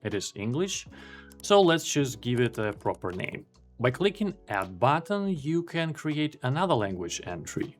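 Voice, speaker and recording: Male tutorial narrator, native Ukrainian speaker, calm and explanatory, mid-pitched voice, faint music bed. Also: unhurried. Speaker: software tutorial presenter. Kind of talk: screen-recorded software tutorial.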